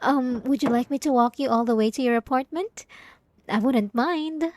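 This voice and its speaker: A woman playing a sweetly devout, possessive young church girl in a scripted ASMR roleplay, soft-spoken and halting, with sugary politeness and an unsettling edge. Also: high voice, no room sound, natural voice. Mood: coaxing, wistful. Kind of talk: scripted ASMR roleplay monologue addressed to the listener.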